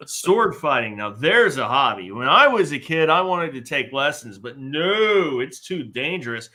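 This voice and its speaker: Man reading as a lively, boastful warrior sidekick. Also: on the high side, a little slow, heavily acted. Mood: scoffing, boastful. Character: goofy, boastful male would-be warrior sidekick. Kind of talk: live comedic table read.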